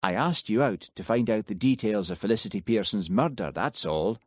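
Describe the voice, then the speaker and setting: A man reading an audiobook, voicing a Highland village policeman who talks plainly and questions firmly. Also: expressive, moderate pace, dry recording, clean studio sound. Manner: plain-spoken, firm questioning. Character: Highland village policeman, easygoing but stern. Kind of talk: audiobook character voice.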